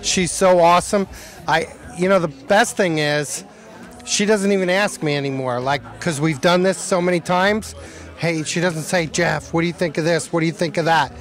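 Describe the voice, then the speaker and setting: A man speaks outdoors at a charity party, his voice a little higher than usual for a man. He talks casually and eagerly, and he keeps calling things awesome. Faint music plays behind him. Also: moderate pace. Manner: enthusiastic, repeats "awesome". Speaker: law firm partner speaking at a charity event. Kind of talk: casual thank-you speech. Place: outdoors at an event.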